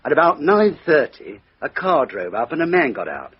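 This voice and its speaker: A very husky dutiful British policeman. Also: a little high, sing-song, moderate pace. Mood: methodical, reporting. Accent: British English. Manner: clipped, report-giving, deferential. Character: dutiful junior British policeman, respectful and methodical. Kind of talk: radio drama acting. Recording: dull, band-limited radio drama recording.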